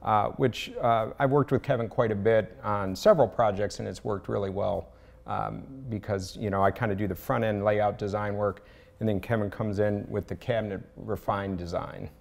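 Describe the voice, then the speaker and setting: Gravelly low-voiced middle-aged man. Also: steady tone, normal speed. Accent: Southern US. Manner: explanatory, hesitant fillers. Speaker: building contractor, guest. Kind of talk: casual on-camera interview. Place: large home kitchen, indoors.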